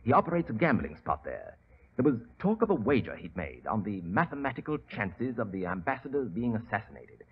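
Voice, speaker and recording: An actor playing a nervous, earnest young gentleman in a 1940s radio drama, polite and hesitant. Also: fairly low pitch, big pitch swings, a little fast, breathy edge, exaggerated voice. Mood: earnest, confiding. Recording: dull old radio recording.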